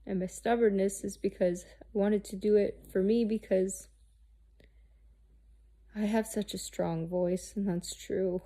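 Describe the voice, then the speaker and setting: A woman in her thirties, talking candidly and haltingly about herself, restarting often and swearing freely. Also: mid-range voice, fairly even intonation, dark tone. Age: 30s. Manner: halting, searching, with many restarts and fillers. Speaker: host. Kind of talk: candid personal monologue.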